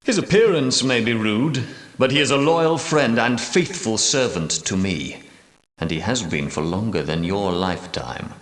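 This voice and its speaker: A grave, echoing knight commander. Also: male voice, fairly low pitch, animated. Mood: storytelling, knowing. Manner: grave, formal, archaic, measured oratory. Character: solemn commanding medieval knight, prophetic leader of Grail guardians. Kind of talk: theatrical video game character dialogue. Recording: roomy echo, slightly distant from mic.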